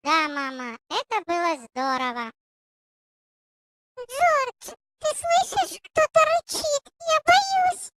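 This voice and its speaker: A woman voicing an excitable, squeaky little piglet child in a children's cartoon story. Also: takes it slowly, long pauses, very noisy, exaggerated voice. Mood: happy, then frightened.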